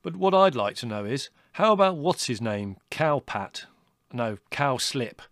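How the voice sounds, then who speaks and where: A man reading a storybook, voicing a steady, cheerful young male rabbit. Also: mid-range voice, measured pace, no echo, studio quality. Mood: eager.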